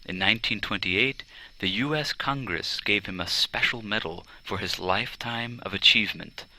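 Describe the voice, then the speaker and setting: A man reading a children's biography as scripted narration, with a deliberate storytelling delivery. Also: lowish voice, bright, forceful tone, poor audio. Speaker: audiobook narrator. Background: string quartet music.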